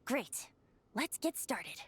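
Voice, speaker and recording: A woman voicing a youthful male wind god and bard in a video game, lyrical and measured, whimsical yet gently solemn. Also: fairly high pitch, sing-song, full character voice. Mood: gentle, explanatory. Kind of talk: scripted game dialogue.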